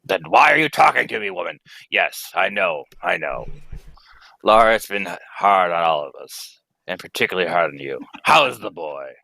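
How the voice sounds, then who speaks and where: A man's voice: deep voice, very animated, moderate pace, vocal fry, bright, forceful tone, no echo, exaggerated voice, low-quality recording. A young man playing a grave, urgent alien scientist and family man in a live radio play reading, speaking in solemn, theatrical pronouncements. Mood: impatient. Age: young adult. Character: grave, urgent Kryptonian scientist, husband and father. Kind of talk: live amateur reading of old radio script.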